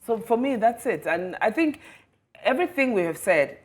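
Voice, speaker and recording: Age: adult. Sex: woman. Style: lively talk show host. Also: on the high side, moderate pace. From Nigeria. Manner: animated, laughs often, repeats phrases for emphasis. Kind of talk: casual panel chat. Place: TV studio.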